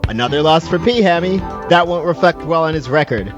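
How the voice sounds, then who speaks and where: A man's voice: a little high, brisk, over music, lightly acted. A man playing a glib sports-style courtroom commentator in a dull, lo-fi audio drama broadcast. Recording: dull lo-fi sound.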